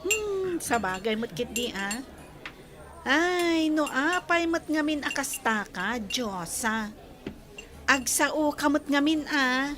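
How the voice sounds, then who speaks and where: A woman's voice: high voice, animated, takes it slowly, fairly bright tone, full character voice. An actress playing a playful young woman who teases her friend in a radio drama. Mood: teasing. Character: young woman Alexa, teasing upbeat friend.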